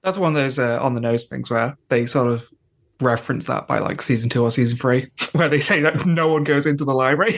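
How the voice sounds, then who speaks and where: A man's voice: mid-range voice, fairly quick. A man co-hosting a podcast in casual chat. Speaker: podcast co-host.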